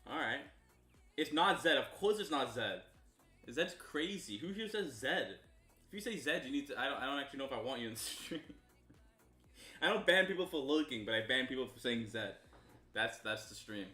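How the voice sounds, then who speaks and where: Excitable young Canadian streamer, bright voice. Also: male voice, mid-range voice, roomy sound. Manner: loose and chatty, bursts of loud excitement. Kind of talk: casual stream chat with viewers.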